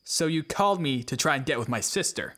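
A man's voice: on the high side, lively intonation, fairly quick. A man voicing a panicky, melodramatic teenage schoolboy in an anime parody dub, whiny and reluctant to be the hero. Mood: suspicious. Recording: loud music behind the voice.

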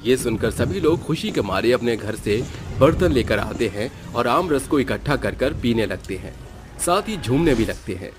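A man's voice: medium pitch, expressive, normal speed. A man giving scripted, dramatic narration as the storyteller of a tale.

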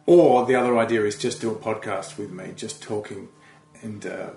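Middle-aged Australian comic, gravelly, self-deprecating, roomy echo. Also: male voice, lowish voice, fairly even intonation, normal speed, a little mellow, soft background music. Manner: rambling, self-deprecating, trails off. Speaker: comedian guest. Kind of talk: casual chat. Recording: echoey room.

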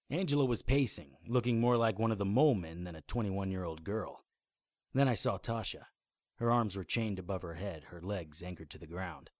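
A man's voice: lowish voice, dry recording, clean studio sound, natural voice. A man playing a defiant, wry occult hero in a radio drama, plainspoken in both narration and dialogue.

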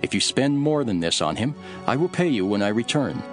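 An actor playing a calm, authoritative male teacher telling parables in an earnest, deliberate scripture drama. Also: mid-range voice, over music, lightly acted. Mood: measured storytelling. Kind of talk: dramatised scripture reading, measured storytelling.

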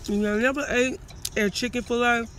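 A woman in her thirties chatting casually and slangily to viewers as a vlogger, animated and often eating, filming herself inside a car. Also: a little high, moderate pace. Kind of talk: casual vlog chat. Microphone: phone at arm's length. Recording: noisy in-car phone recording.

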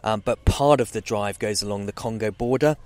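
A man in his forties, originally from Zambia, speaks as an interview guest in a loose, meandering way. He keeps restarting sentences and fills gaps with "um" and "sort of". His voice sits a little low. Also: brisk, a little mellow. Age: 40s. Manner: rambling, hesitant, many restarts and "sort of". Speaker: guest oceanographer. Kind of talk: casual interview.

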